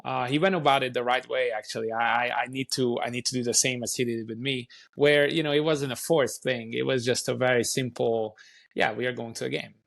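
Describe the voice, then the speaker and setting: A man in his early thirties from Hungary with a Hungarian accent, a native Hungarian speaker telling his story as an interview guest, halting with frequent restarts and ums. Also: medium pitch, steady tone, gravelly, a bit bright. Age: 30s. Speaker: youth soccer academy director. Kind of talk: casual interview chat.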